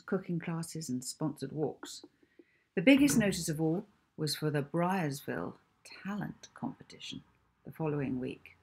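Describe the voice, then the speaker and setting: A middle-aged woman reading a children's story aloud as its author, in a measured, deliberate way. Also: deep voice, slightly rough. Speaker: author reading own book. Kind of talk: children's audiobook narration.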